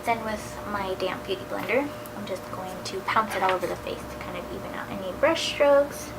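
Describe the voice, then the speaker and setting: A woman in her thirties talks through a makeup tutorial at home. Her voice is gravelly and a little nasal from a cold. Her talk is casual and chatty, now and then dropping to a soft near-whisper, and there is steady noise behind her. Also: mid-range voice, a little fast. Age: 30s. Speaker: beauty vlogger.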